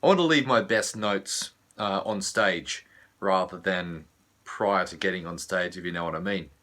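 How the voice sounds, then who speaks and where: A man with an Australian accent, a vocal coach whose voice is husky from laryngitis, explaining vocal care in a relaxed way with many fillers. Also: low-pitched, moderate pace. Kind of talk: teaching video.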